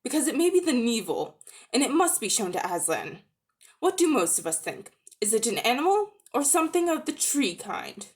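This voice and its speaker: A woman acts out a talking lady elephant from a storybook. She is polite and deliberate, thinking aloud with gentle self-importance and a very mobile pitch. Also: sing-song. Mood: decisive. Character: genteel, thoughtful female talking elephant, mildly self-important.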